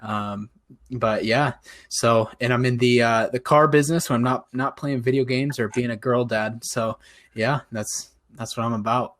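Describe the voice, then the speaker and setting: A man in his twenties co-hosting a casual gaming podcast, upbeat and quick to laugh. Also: mid-range voice, steady tone, a bit bright. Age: 20s. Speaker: podcast co-host. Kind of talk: casual chat.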